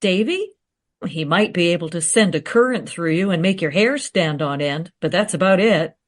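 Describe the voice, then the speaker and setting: An actress playing a cautious, sensible time traveller in conversational radio play dialogue. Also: female voice, lowish voice, expressive, normal speed. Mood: reasoning, critical.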